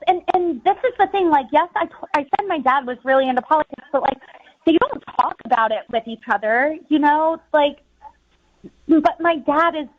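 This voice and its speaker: A woman's voice: high voice, phone-quality sound. A young American woman calling a radio show, explaining herself casually and hesitantly with lots of filler words. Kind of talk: casual conversation.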